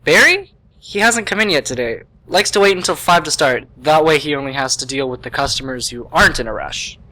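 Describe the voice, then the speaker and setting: An easygoing young mechanic voiced by a man talks in casual slang in an amateur radio play. His voice is bright and forward, pitched a bit higher than most men's. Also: animated, fairly quick, low-quality recording. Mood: casual, chatty.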